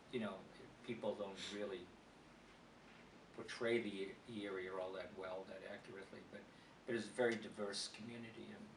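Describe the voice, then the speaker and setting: Older man, rambling, low voice, distant mic, echoey noisy room. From South Boston, USA. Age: 70s. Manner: rambling, digressive, with frequent restarts. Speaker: interviewee, former politician. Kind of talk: reminiscing interview answers.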